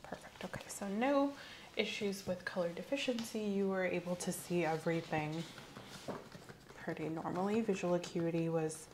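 A young woman playing a calm, methodical medical examiner in a soft-spoken ASMR roleplay, quietly reassuring and procedural. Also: lowish voice, moderate pace, slight background noise, close to an everyday voice, rough recording. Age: young adult. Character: calm, methodical female eye-exam clinician. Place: small indoor room set up as an exam room.

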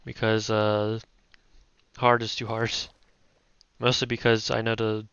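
A young man giving relaxed, chatty gameplay commentary, thinking aloud as he plays. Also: lowish voice, unhurried, clean tone, no echo, muffled recording. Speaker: gaming YouTuber.

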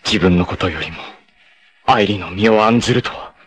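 A man voicing a proud, fierce young male martial artist in a 1980s anime, who moves from measured calm to raging shouts. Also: low-pitched, natural voice. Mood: grim, resolute. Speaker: anime voice actor. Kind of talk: 1980s anime dub acting.

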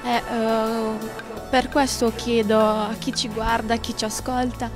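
A young woman, a student trainee, giving a spontaneous, halting interview answer into a handheld microphone indoors. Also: a little high, flat delivery. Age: young adult. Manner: halting, searching for words. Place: classroom, indoors. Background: music.

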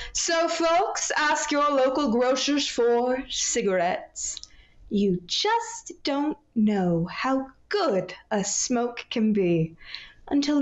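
A young woman playing a cheery, prim 1940s radio pitchwoman with sweet, genteel sales patter. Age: young adult. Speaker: radio show sidekick and ad announcer. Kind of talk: 1940s radio commercial acting. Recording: roomy, slightly dull sound.

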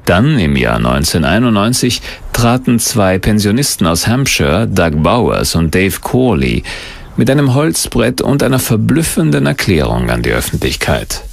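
A man's voice: lowish voice, very animated, moderate pace, creaky. A man narrating a documentary from a script in a dramatic, suspenseful manner.

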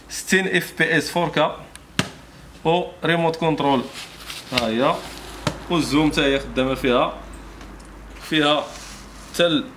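A Moroccan man in his thirties gives an informal, repetitive product pitch. He talks quickly in a slightly high voice, with clear background noise in an indoor shop. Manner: repetitive, salesman-like patter. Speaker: shop seller presenting products. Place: small motorbike accessory shop, indoors. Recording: clearly noisy shop ambience.

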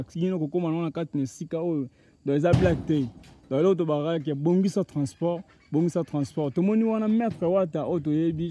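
A man's voice: on the high side, darkish tone, slight background noise. A man gives a casual field report outdoors in open grassland. He films on a handheld phone and switches between Lingala and French, chatty and repeating words. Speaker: reporter presenting a building project.

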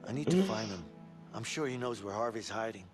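A man playing a calm, determined, protective father on the run in a video game cutscene, speaking in a low-key, reassuring way. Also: brisk, music in the background, close to an everyday voice. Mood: serious.

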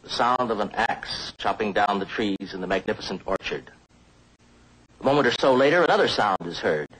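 An older man presenting an educational film in a deliberate, scripted lecture with dramatic emphasis. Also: on the low side, animated, fairly bright tone, husky, poor audio. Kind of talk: measured scripted lecture to camera.

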